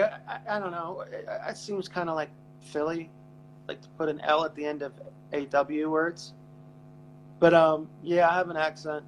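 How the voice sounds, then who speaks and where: A man's voice: fairly high pitch, a little hiss or hum, poor audio. A man with an Eastern Pennsylvania accent, co-hosting a casual podcast chat in a loose, rambling and profane way. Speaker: band member and co-host.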